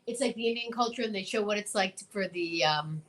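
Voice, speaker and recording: A woman's voice: medium pitch, fairly quick, fairly bright tone. A woman co-hosting a casual talk show, chatting in a gushing, emphatic way and often repeating herself. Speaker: co-host of a comedy talk show. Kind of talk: casual chat.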